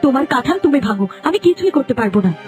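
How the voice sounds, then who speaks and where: Very high-pitched, scolding village wife, acted by a woman. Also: animated, rapid-fire. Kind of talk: Bengali cartoon dubbing. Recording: music under the voice.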